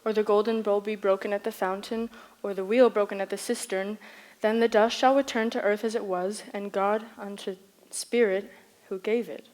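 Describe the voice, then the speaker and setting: A young woman on a school stage playing a solemn, portentous mystic who declaims gravely. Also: medium pitch, fairly even intonation, normal speed, darkish tone, close to an everyday voice, low-quality recording. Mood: solemn, foreboding. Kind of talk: school stage play acting.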